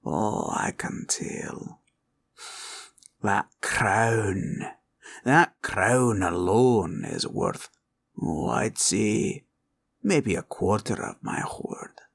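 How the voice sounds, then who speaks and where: A man playing a greedy, menacing green dragon in a villain roleplay audio, gravelly and drawling as he gloats and haggles. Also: lowish voice, sing-song, full character voice. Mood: gloating. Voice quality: gravelly, creaky. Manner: slow, drawling, grumbling and haggling.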